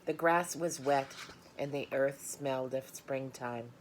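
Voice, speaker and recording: Very low-voiced woman reading aloud. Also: a little slow, poor audio. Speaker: storybook reader. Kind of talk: read-aloud storytelling with informal remarks. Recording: noticeable background noise, room ambience.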